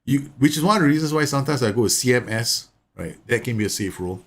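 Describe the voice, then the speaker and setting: A man's voice: mid-range voice, lively intonation, fast talker, a bit bright. A man from Singapore, chatting and explaining tactics while live streaming a game, often repeating words. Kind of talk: live gameplay commentary and chat.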